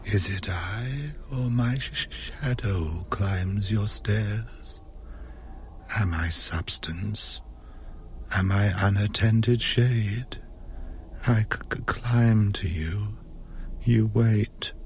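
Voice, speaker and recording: An actor playing an ancient, lonely male vampire who stammers in a slow, hushed, menacing way, in a radio drama. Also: fairly low pitch, slight background noise. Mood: brooding.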